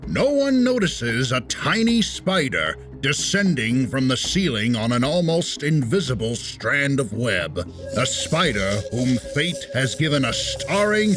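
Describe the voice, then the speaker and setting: Male comic-book narrator, theatrical showman impression, lively swooping intonation, faint music underneath. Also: medium pitch. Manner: dramatic showman delivery, an impression of a famous comics writer.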